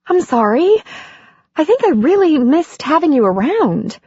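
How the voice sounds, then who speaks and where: A woman's voice: very animated, measured pace, dry recording. A woman voicing an eager middle-aged woman. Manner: bright and eager, higher than her narration. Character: Andy, middle-aged woman, warm and anxious. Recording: dry, dull lo-fi audiobook recording.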